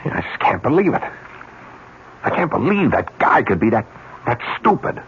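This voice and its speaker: A man's voice: medium pitch, big pitch swings, normal speed. An actor playing a cynical, obsessive rich man who talks persuasively and sardonically in an old radio drama. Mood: insistent, agitated. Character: cynical, obsessive wealthy man wagering on another's greed. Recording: dull, hissy old radio broadcast.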